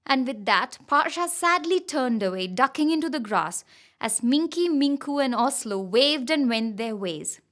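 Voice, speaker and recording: A woman narrating a children's bedtime story in an expressive, scripted style. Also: high voice, measured pace. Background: background music.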